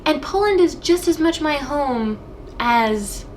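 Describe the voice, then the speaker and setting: A young woman from the United States hosts a casual show, chatting straight to her viewers. Her voice sits high and she gushes with enthusiasm. A faint hiss of noise lies under the recording. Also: animated, slow. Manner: bubbly, enthusiastic. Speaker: host. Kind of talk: casual vlog chat to camera.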